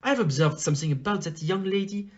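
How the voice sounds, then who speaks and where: A man's voice: sits high, fast talker, natural voice. A man playing a shrewd, formal detective in an audio play, questioning politely and precisely, on a muffled recording. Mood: shrewd, musing. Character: shrewd, courteous male detective, probing and precise.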